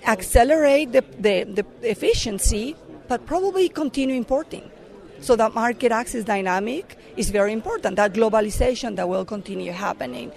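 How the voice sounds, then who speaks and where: Middle-aged woman from Mexico, Mexican accent, fairly high voice, earnest interviewee who pauses and restarts. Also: creaky, darkish tone. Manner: earnest, with frequent fillers and restarts. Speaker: corporate affairs director. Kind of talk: conference interview answers.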